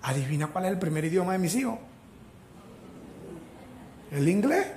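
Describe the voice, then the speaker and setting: Animated joking preacher from Venezuela. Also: male voice, sits high, moderate pace, echoey room, a little hiss or hum. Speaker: pastor. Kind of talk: sermon.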